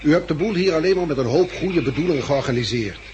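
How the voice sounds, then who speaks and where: A man's voice: on the high side, animated, quick pace, darkish tone, close to an everyday voice. An actor in a 1960s radio drama playing a practical, plain-spoken male survivor who argues bluntly. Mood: blunt, challenging. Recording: noisy old 1960s radio recording.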